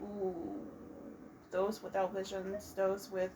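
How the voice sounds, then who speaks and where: A woman's voice: mid-range voice, level tone, slow pace, background noise. A woman giving casual let's play commentary, thinking aloud in a hesitant way.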